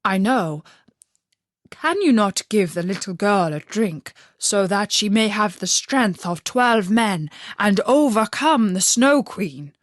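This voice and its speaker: A woman's voice: mid-range voice, lively intonation, takes it slowly, dry recording, studio quality. A woman voicing a loyal male reindeer in a fairy tale, speaking in an earnest, pleading manner.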